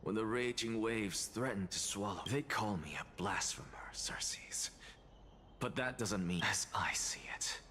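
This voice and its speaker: An actor playing a proud, defiant scholar in a dramatic game, speaking in a haughty, deliberate way. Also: male voice, on the low side, pushed and bright, slight background noise. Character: proud, defiant male scholar, coolly arrogant and theatrical.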